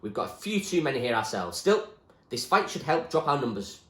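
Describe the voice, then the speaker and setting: A man voicing a calm, blunt and ruthless young gang leader in a storybook, with a commanding manner. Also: mid-range voice, a little fast, reverberant, pitched above the performer's own voice.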